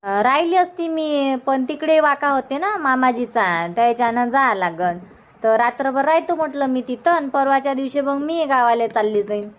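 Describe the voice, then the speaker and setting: Chatty village mother for cartoon comedy, female performer: high voice, Varhadi Marathi accent, bossy but easygoing, rambling delivery. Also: normal speed, lightly acted. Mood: chatty, planning. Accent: Varhadi Marathi (Vidarbha). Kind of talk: homemade cartoon comedy dub.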